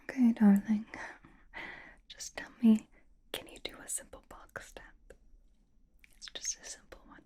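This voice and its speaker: Elegant vampire lady, acted by a woman, whispered and very breathy, flirtatious and fondly exasperated, for intimate ASMR roleplay. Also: a little high, dark tone. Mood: exasperated, reassuring. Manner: coaxing, intimate, halting, slow.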